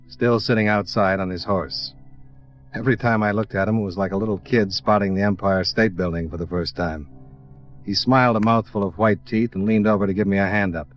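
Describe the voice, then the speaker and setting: A tough American investigator played by a man with a low voice and a wry, clipped, deadpan delivery. It is hardboiled vintage radio drama, dull in sound, with some music. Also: lightly acted. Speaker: lead actor and narrator.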